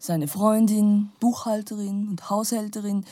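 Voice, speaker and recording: Woman as a mellow, cool, unmoved girlfriend. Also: mid-range voice, steady tone, a little slow, dry recording, studio quality, natural voice. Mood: cool, indifferent. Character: cool, unsentimental girlfriend of a murdered businessman. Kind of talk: radio play dialogue.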